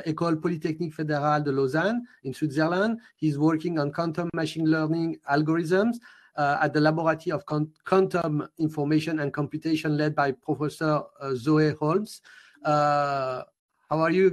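Middle-aged host with a higher voice. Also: male voice, fairly even intonation, fairly quick. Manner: hesitant, repeats words. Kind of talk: interview host introductions. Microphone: video call.

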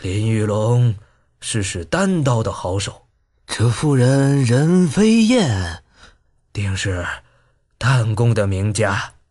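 A man voicing a calm older bandit chief with a low, heavy voice in a storytelling reading. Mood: pondering. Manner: slow and pondering. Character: calm older male sworn-brother chief. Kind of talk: storytelling audiobook.

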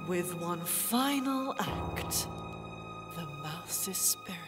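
A woman narrating a fantasy tale in verse as a storyteller, dramatic and deliberate. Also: lowish voice, animated, loud music behind the voice. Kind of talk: slow, scripted verse storytelling.

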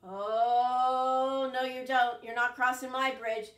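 A woman voicing an angry male troll guarding his bridge, shouting threats. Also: high-pitched, fairly even intonation, slow. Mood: bellowing. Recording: roomy echo, distant mic.

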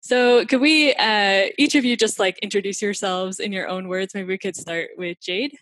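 A woman's voice: medium pitch, normal speed, a bit bright, no room sound. An American woman hosting an interview over a video call, asking questions with easy laughs. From United States. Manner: friendly, giggly, with fillers. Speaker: podcast host.

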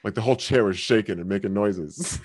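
A man hosting a live stream, heckling strangers in random video chats with loud, crude, swearing banter. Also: fairly low pitch, a little fast, husky. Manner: loud, taunting, swearing often. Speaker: streamer host.

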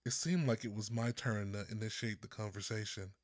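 A man from Texas reading his own first-person story as an audiobook narrator, with deliberate phrasing and pauses. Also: on the low side, steady tone, no echo, studio quality. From Texas, United States.

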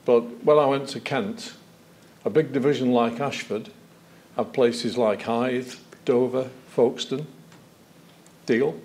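A man's voice: medium pitch, slow pace. An older man, a former police chief, telling anecdotes as a witness at an evidence session in a lecture theatre. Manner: anecdotal, animated hand gestures. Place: lecture theatre, seated at a desk.

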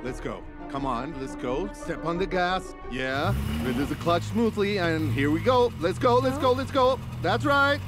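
A hot-tempered driving instructor. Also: male voice, high voice, lively intonation, a little slow, few pauses. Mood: coaxing. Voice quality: shouting, exasperated. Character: excitable male driving instructor, quick-tempered. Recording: music underneath.

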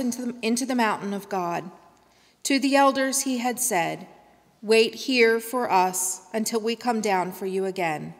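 A middle-aged woman reading scripture at a church lectern in a slow, measured way. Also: medium pitch. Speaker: scripture reader. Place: large church sanctuary. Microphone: lectern microphone. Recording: long church reverb.

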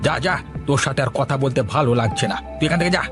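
A man's voice: medium pitch, racing, lightly acted. A man voicing a gossiping, spiteful old village uncle in a cartoon, with a needling, grudging manner. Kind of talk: Bengali cartoon story voice acting. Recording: music behind the voice.

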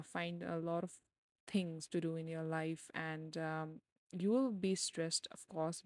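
A woman's voice: fairly low pitch, no echo, clean studio sound. A woman in her twenties hosting a casual solo podcast, rambling with lots of fillers and growing livelier as she talks. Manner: rambling, filler-heavy, gets brighter and louder as she goes. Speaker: podcast host.

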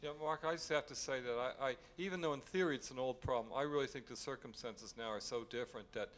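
A middle-aged man talks conversationally on a discussion panel and readily pushes back on others. His voice has a mid-range pitch. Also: fast, a bit bright. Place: hotel conference room. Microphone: table mic on a stand.

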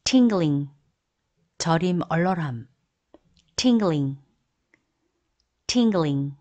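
A woman teaching English vocabulary as a voice-over, saying each word and repeating it clearly in steady word drills. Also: low-pitched, normal speed. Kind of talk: slow, deliberate word drills.

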